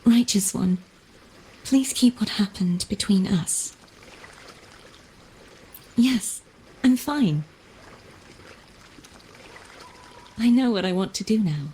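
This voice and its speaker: An actress playing an earnest, resolute young maiden knight in a video game, speaking in formal, measured phrases. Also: female voice, mid-range voice, expressive, mellow, a little hiss or hum, rough recording.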